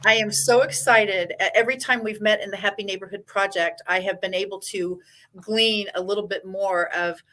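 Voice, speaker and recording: A woman in the host's chair who speaks with effusive enthusiasm and heaps praise on her guest. Her voice is bright and forceful, sitting in a middle pitch range. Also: fairly even intonation, moderate pace, poor audio. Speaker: podcast host. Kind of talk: enthusiastic interview hosting.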